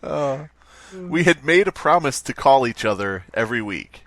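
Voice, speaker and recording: A man's voice: mid-range voice, expressive, moderate pace, fairly bright tone. A man hosting a comedy podcast, reading stories aloud and riffing casually with jokes and swearing. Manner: joking, swears casually, riffs on bits. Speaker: podcast host.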